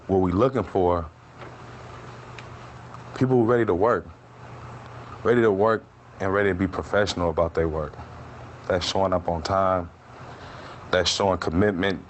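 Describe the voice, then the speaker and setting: A man who founded a small business tells its story in documentary voice-over, plain and steady. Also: low voice. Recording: background noise.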